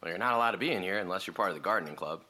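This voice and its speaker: An actor playing a laid-back, teasing American legacy high school senior in an audio drama, with a casual, wry manner. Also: male voice, lively intonation, rapid-fire, bright, forceful tone, full character voice. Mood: friendly, amused. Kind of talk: conversational audio drama acting.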